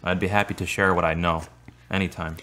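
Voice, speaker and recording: A kind, earnest friend in a radio play. His voice is deep, and he speaks politely and a little tentatively, with sincere care. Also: flat delivery, a little fast, sharp tone. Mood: friendly. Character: Derek, kind, earnest, devout male friend. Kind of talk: radio drama acting.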